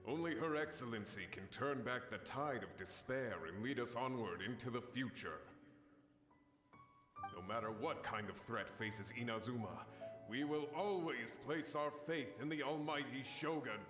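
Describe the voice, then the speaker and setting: An actor playing a devoted, reverent soldier in a video game, speaking fervently and formally. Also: male voice, medium pitch, normal speed, roomy sound, music in the background, natural voice.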